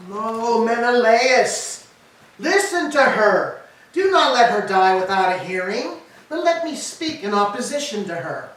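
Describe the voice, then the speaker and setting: A woman's voice: a little high, very animated, a little slow. A woman playing a grieving, vindictive old Trojan queen in a staged tragedy reading, declaiming solemnly and fiercely. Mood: urgent, vindictive. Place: church, live staged reading. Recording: echoey church, distant mic, faint noise.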